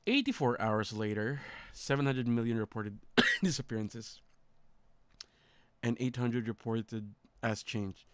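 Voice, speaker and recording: A man's voice: mid-range voice, lively intonation, a little slow, a bit bright, clear, resonant tone, no room sound. A man streaming in a casual, laid-back way, reading text aloud and muttering short reactions to it.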